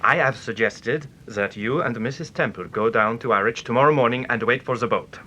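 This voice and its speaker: Intense, sharp-voiced French investigator. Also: male voice, mid-range voice, fairly quick. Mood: urgent, instructing. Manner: formal, precise, insistent. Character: intense, precise French male investigator from the continent. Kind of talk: radio detective drama acting.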